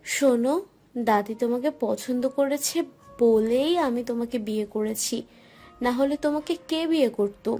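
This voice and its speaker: A woman voicing a sharp-tongued young wife who scolds and teases in an audio story. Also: on the high side, measured pace. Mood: sassy. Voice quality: sassy, scolding. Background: soft music.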